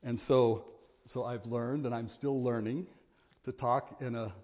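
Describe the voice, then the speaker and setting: A man's voice: medium pitch, steady tone, unhurried. Big-voiced man preaching a sermon. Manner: earnest, building to forceful exhortation.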